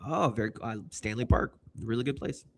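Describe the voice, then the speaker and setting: A man's voice: medium pitch, a little fast, vocal fry, fairly bright tone, slight background noise. A man hosting a casual livestream, talking in a hyped, rambling, slangy way. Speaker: host.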